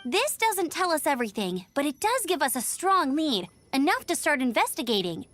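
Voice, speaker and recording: An eager young female knight scout from an anime game. The voice is high and very lively, earnest and confident, with faint music underneath. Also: normal speed. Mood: thoughtful.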